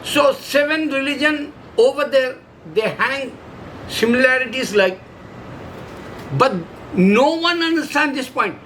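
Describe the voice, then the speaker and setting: A man's voice: very high voice, a little slow, a bit bright, a little hiss or hum, rough recording. A man from India whose first language is Urdu, speaking in broken English with an Indian accent, preaching in short emphatic phrases. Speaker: religious video maker. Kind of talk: solo preaching monologue.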